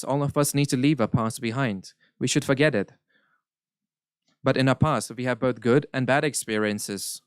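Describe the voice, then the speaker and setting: Smooth-voiced man interpreting. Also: medium pitch, dry recording, studio quality. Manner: measured, earnest, occasional self-corrections. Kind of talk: live interpretation of a spiritual Q&A.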